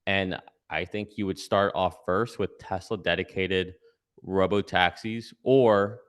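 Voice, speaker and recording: A man's voice: low voice, steady tone, slow pace, clean tone. A man giving casual conversational analysis as a guest commentator, explaining step by step and checking in with "right?". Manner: explanatory, tags points with "right?".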